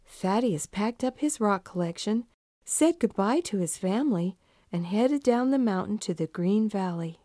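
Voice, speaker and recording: A woman narrating a children's storybook in an expressive, deliberate storytelling manner. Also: medium pitch, dry recording, clean studio sound.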